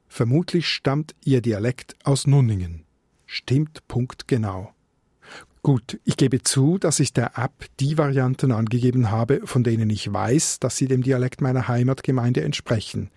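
Husky, wry Swiss reporter. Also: male voice, medium pitch, darkish tone, no echo, studio quality. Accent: Swiss German. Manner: wry, self-ironic. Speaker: radio reporter. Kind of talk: first-person radio report.

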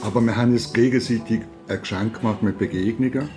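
A man with a low voice talks casually, telling stories from memory. His sentences are loose and fragmented. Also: fairly even intonation, fast talker, loud music behind the voice.

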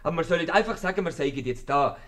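A man's voice: fairly high pitch, lively intonation, quick pace, fairly bright tone. A man playing a naive, stubborn young husband with a Swiss German accent in a 1950s radio comedy. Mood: earnest. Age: young adult.

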